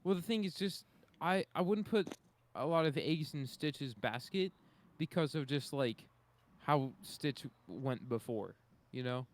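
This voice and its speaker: A man's voice: fairly high pitch, animated, unhurried. A young man on a podcast panel, talking casually in a tentative, agreeable way. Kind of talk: casual chat.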